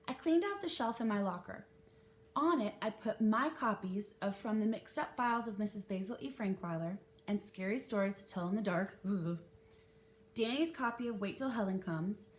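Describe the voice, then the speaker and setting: A woman reading a children's novel aloud as an expressive narrator. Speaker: read-aloud reader. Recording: noisy with low rumble.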